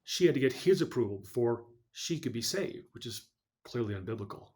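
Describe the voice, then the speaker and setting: A man speaking as a podcast guest, telling a personal story in an earnest, measured way. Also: medium pitch, expressive, darkish tone, husky.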